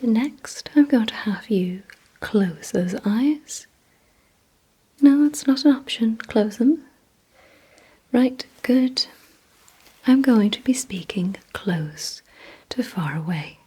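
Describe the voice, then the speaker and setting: A woman performs an ASMR roleplay in a very breathy, mostly whispered voice. She speaks extremely slowly and deliberately, gently giving commands and instructions to the listener. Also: a little high, lively intonation, low-quality recording. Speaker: ASMR creator playing a vampire giving memory and attention tests.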